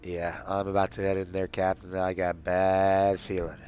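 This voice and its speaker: A man with a low voice and very little pitch movement plays a tabletop game. He talks in character in short, gruff phrases, with faint music behind him. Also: monotone, normal speed, darkish tone.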